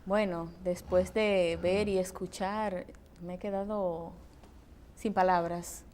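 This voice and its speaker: A woman hosting a television interview, deliberately building up and repeating her questions. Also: lowish voice, steady tone, a little mellow, slight background noise. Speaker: interview host.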